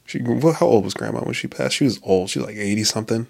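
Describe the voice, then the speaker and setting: A man's voice: mid-range voice, quick pace, gravelly, darkish tone, husky. A man in his late twenties talking in a casual, rambling monologue, swearing often, restarting his sentences and laughing at himself. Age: 20s. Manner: profane, self-interrupting, laughs often.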